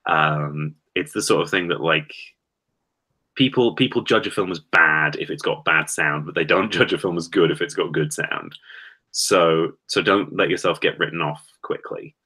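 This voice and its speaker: A man's voice: sits low, normal speed, pushed and bright, slightly rough. A man chatting on a livestream, offering thoughtful, hedging advice. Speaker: guest filmmaker. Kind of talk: casual group chat.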